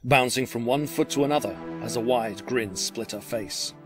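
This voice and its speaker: A man's voice: medium pitch, moderate pace, a bit bright, faint music behind. A man delivering scripted dramatic narration as the narrator of an audio drama.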